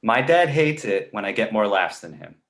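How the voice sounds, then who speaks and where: A man voicing a cheeky, crude talking baby boy in an animated comedy table read, sarcastic and blunt. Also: on the low side, moderate pace. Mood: mocking. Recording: roomy echo.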